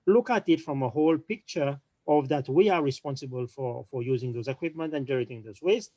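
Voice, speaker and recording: A man speaking on an online panel, talking quickly with frequent restarts and repeated words. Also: medium pitch, darkish tone, no room sound.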